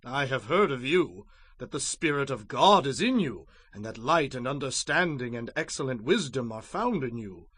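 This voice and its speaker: A man's voice: mid-range voice, expressive, normal speed, a bit bright, breathy edge, no room sound. An actor playing an imperious, anxious ancient king in a scripture drama, theatrical and commanding. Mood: imperious, agitated.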